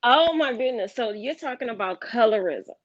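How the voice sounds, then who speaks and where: A woman hosts a casual discussion and speaks in a hurried way, turning to address her listeners directly. The sound is dull and dry, with no room around it. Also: a little high, animated, no echo. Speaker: podcast host.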